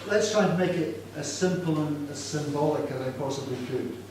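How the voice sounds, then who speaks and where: A man's voice: on the high side, brisk, darkish tone. A Scottish man in his sixties speaking informally to students about a drawing he made, self-mocking and asking the audience questions. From Scotland. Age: 60s. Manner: self-deprecating, chatty, asks the audience questions. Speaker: storyteller presenting his artwork. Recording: echoey room, distant mic, background noise.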